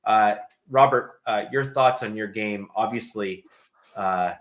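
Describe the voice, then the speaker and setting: A man hosting a casual sports podcast, loose and relaxed with plenty of "uh" fillers. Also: on the low side, slow pace. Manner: relaxed, filler-laden, calls for likes. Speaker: podcast host.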